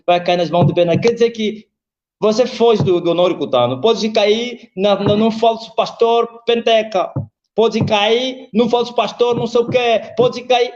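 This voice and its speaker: A man, preaching emphatically on a live video call and quoting scripture. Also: highs cut off. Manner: emphatic, swings high and low, quotes scripture. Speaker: apostle, preacher.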